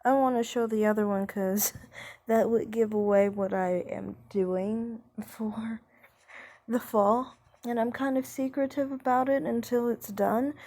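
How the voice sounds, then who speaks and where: Young woman, singer, casual vlog, slightly high voice, slow talker, rambling, hesitant. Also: steady tone, warm tone. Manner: rambling, hesitant, many fillers; speaks slowly. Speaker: singer talking about her voice type.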